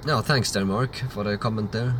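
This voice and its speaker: A man's voice: fairly low pitch, moderate pace, a bit bright, a little hiss or hum. A man, hosting a livestream Q&A and answering chat questions in a loose, rambling way. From Sweden. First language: Swedish. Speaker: livestream host.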